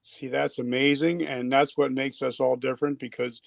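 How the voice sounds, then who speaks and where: Older man with a gravelly voice. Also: medium pitch, level tone, moderate pace, no echo. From New Jersey. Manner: casual, rambling, jokey. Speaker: podcast host. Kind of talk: unscripted podcast chat.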